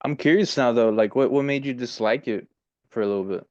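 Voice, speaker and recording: A man's voice: mid-range voice, brisk, darkish tone, dry recording, highs cut off. A man hosting a podcast, asking short friendly questions in a casual interview. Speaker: podcast host.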